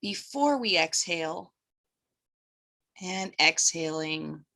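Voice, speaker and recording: A woman guiding breathwork and meditation as an instructor, gently giving instructions in short phrases with pauses between them. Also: low voice, expressive, creaky.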